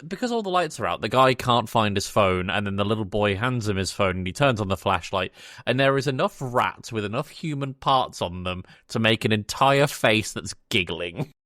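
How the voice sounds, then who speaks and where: A man's voice: medium pitch, a bit bright, dry recording, clean studio sound. A man hosting a podcast in casual chat, talking hesitantly with joking asides. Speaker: podcast host. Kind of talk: casual chat reviewing a film.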